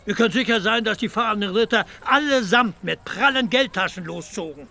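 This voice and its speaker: A man's voice: high voice, fairly quick, gravelly, bright, forceful tone, exaggerated voice. An actor playing a sly innkeeper who humours a madman in a German radio play, with a mock-solemn, wheedling manner. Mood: amused, wheedling.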